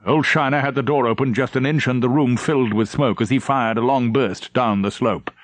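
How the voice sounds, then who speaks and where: A man's voice: medium pitch, fairly quick, no echo. A man narrating a novel as a scripted, dramatic audiobook reading.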